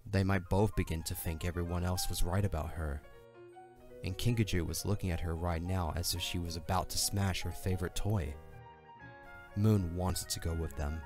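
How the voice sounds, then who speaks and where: Low-voiced man narrating a story.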